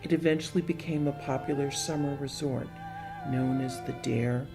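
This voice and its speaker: A man's voice: medium pitch, steady tone, normal speed. Male story narrator over music. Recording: music bed behind the voice.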